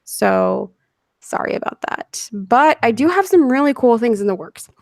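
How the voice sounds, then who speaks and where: Hoarse woman streamer chatting w/ a guest, gravelly scratchy voice, dry close sound. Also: on the high side, big pitch swings, normal speed, no echo. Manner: chatty, giggly, reads chat messages aloud. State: hoarse, losing her voice. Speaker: livestream host interviewing a guest. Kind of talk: casual livestream chat.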